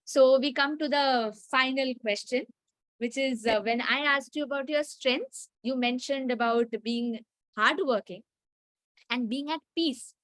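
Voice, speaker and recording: A woman's voice: sits high, measured pace, fairly bright tone, no room sound, low-quality recording. A young woman with an Indian English accent and Hindi as her first language, asking long, reflective interview questions with personal asides. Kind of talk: casual conversational interview.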